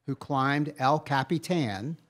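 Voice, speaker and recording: A man's voice: fairly high pitch, slow pace, fairly bright tone. Enthusiastic man co-hosting a podcast. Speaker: podcast co-host. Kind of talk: casual podcast chat.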